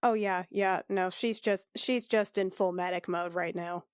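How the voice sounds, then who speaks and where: A woman's voice: on the low side, fairly even intonation, measured pace, smooth, dry recording. Woman chatting casually, very dry sound. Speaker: player on a tabletop podcast. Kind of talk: casual game chat.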